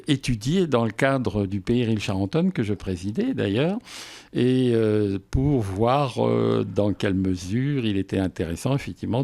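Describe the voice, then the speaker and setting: A man speaking as a local official in a radio interview, explaining things in measured, formal phrasing. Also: on the low side, gravelly, dark tone.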